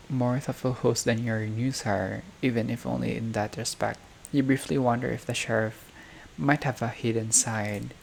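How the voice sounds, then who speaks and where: A gamer reading visual novel text aloud in a halting way, breaking off for casual asides and reactions. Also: mid-range voice. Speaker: gaming video creator playing a visual novel. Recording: clearly noisy home recording, unstable audio.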